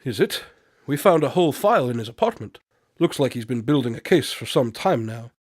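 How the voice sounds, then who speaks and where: A man playing a shrewd, unflappable detective in a radio drama, measured and knowing as he confronts a suspect. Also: medium pitch, expressive, husky, no room sound, studio quality. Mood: accusing, confident. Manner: measured, knowing, coolly accusatory. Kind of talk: amateur radio drama acting.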